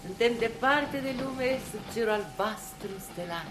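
A woman's voice: on the high side, animated, noisy background, close to an everyday voice. Actress as a wistful, world-weary woman. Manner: wistful, poetic. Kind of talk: Romanian radio theatre acting.

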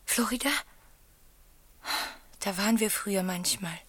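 An actress playing a wounded, emotional wife in a German radio play, dramatic, dropping into hushed tones. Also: female voice, mid-range voice, a little slow, breathy edge, no room sound. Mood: forced gaiety.

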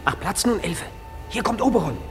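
A woman's voice: mid-range voice, expressive, normal speed, soft background music, lightly acted. An actress playing a mischievous goblin servant of the fairy king, delivering verse with gleeful theatrical swings in a radio play. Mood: brisk. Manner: gleeful, theatrical swings while telling of pranks. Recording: long reverberant hall.

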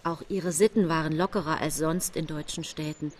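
An actress playing a seemingly pious, gentle yet secretly cold 19th-century housewife, confiding her story in a measured radio drama monologue. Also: deep voice, steady tone, slight background noise, close to an everyday voice. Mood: agitated insistence. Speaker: actress narrating in a radio play. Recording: music bed behind studio voice.

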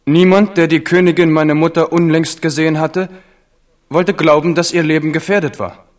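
An actor playing a proud, guarded teenage prince in a German radio drama, formal, clipped and insistent. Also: male voice, on the high side, fairly even intonation, normal speed, natural voice. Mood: tense, demanding. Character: proud, guarded eighteen-year-old prince, demanding answers.

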